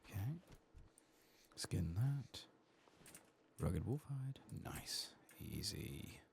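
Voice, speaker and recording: A soft-spoken man murmuring ASMR commentary over a video game playthrough, musing to himself and sometimes dropping toward a whisper. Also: lowish voice, big pitch swings, drawn-out words, vocal fry, a little hiss or hum.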